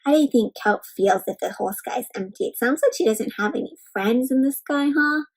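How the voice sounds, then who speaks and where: Female children's read-aloud host: high register, lively swooping intonation, questions and exclamations aimed at young listeners. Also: fairly quick, husky. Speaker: host reading a picture book aloud to children.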